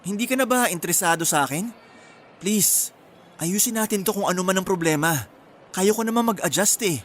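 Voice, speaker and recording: An actor playing an insecure, pleading young boyfriend in an earnest, emotional radio drama. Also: male voice, high voice, expressive, brisk, fairly bright tone, slight background noise. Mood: hurt, pressing.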